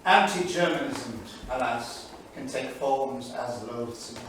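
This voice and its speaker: Bitter 1930s diarist, echoey and distant. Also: male voice, medium pitch, slow pace, a bit bright. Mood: scornful irony. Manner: literary, sardonic, declamatory. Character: bitter, erudite 1930s English diarist, darkly witty. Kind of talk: staged dramatic reading of a journal. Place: theatre studio stage, far microphone. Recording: distant echoey theatre studio, faint noise.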